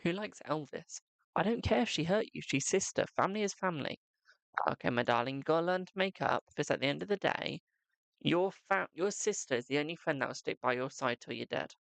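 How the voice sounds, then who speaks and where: Guy doing tarot on a live stream, keeps saying my darling, gravelly fry in his voice. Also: fairly high pitch, lively intonation, a little fast, a bit bright, no echo, low-quality recording. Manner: chatty, calls viewers "my darling". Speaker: psychic tarot reader. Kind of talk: live-stream card reading, chatting to viewers.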